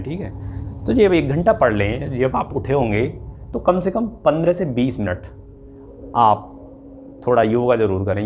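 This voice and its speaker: A man's voice: medium pitch, darkish tone. Male exam coach, mid-range voice, informal explanatory lecturing with check-in tags, some noise behind. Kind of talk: informal instructional lecture to students.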